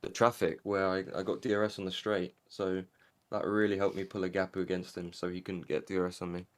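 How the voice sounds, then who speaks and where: A man's voice: sits low, a little fast. A young man from Angola, interviewed after winning a sim race, talking casually with lots of fillers. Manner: relaxed, rambling with fillers. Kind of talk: casual post-race interview over voice chat.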